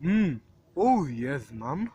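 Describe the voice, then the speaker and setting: A woman's voice: sits low, sing-song, extremely slow, background noise, full character voice. A woman in an amateur radio play, playing a bored, indifferent male police desk sergeant with a sluggish manner.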